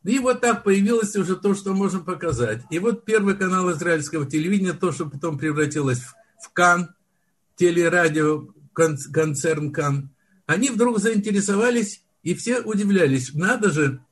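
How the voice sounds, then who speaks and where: An older man with a husky, breathy voice that sits high for a man. He reminisces at an easy pace, often stopping and restarting mid-word as he recalls details. It sounds like a relaxed conversational interview. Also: normal speed. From Soviet Union. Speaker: film director, interview guest. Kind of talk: unhurried storytelling in conversation.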